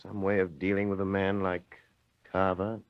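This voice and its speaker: An actor playing a composed, urbane amateur detective in a 1930s radio drama, measured and courteous. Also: male voice, deep voice, little pitch movement, lightly acted. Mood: grave, resolute. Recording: dull, noisy vintage radio recording.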